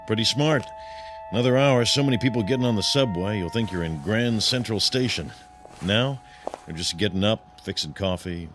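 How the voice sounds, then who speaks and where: A man's voice: on the low side, moderate pace, background noise, lightly acted. An actor playing an easygoing, chatty policeman with a folksy, laconic manner in a radio drama. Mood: relaxed, chatty.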